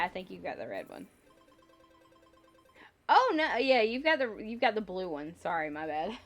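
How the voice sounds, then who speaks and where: A woman hosting a casual livestream, rambling and thinking aloud as she talks to viewers, sounding slightly congested. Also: lowish voice. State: slightly congested with a lingering cough. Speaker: livestream host. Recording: music behind the voice. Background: music.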